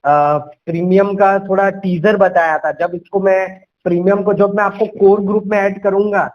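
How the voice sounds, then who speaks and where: Young man, high-pitched voice, persuasive phone sales coach who mixes in English words, on a muffled low-fidelity recording. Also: little pitch movement, moderate pace. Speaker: sales coach making a sales call. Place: at home. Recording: dull lo-fi call recording.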